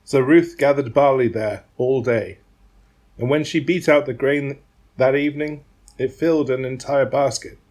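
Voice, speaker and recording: A man's voice: mid-range voice, normal speed, a little mellow. A man narrating a dramatised Bible reading from a script.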